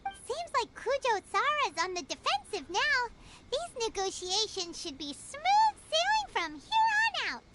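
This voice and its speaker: A tiny, chirpy girl companion from a game. Her squeaky voice sits extremely high and leaps all over. Loud noise hisses behind her. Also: big pitch swings, a little slow, exaggerated voice. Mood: smug.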